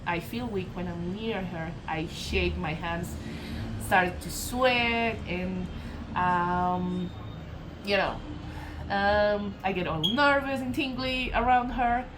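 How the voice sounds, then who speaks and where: A woman from Colombia with a Colombian Spanish accent, giving a casual channeled tarot reading in a meandering way, full of fillers, self-corrections and soft laughs. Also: medium pitch, takes it slowly, noisy background. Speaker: tarot reader.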